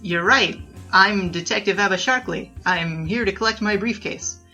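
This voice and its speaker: A woman's voice: mid-range voice, normal speed, pushed and bright, lightly acted. A woman playing a brash, boastful young bandit king who tells wisecracking, slangy stories in a 1920s noir comedy audio drama, with music behind. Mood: bluffing. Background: background music.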